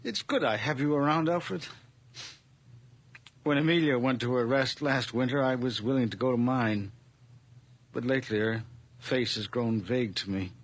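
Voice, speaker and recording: An actor playing a frail, world-weary and fearful old widower in a radio drama, with halting, sighing speech and a creaky rasp. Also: male voice, mid-range voice, lightly acted. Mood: wistful, resigned. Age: older.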